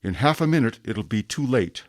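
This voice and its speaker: An actor playing a stern, relentless police inspector in an audio drama, cold and commanding. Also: male voice, medium pitch, animated, normal speed, no echo, studio quality, natural voice. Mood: urgent. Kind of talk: radio drama acting.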